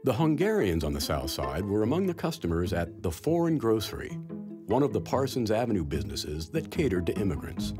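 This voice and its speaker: A man narrating a local history documentary in a measured, scripted voice. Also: lowish voice, sing-song. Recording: studio voice-over over music.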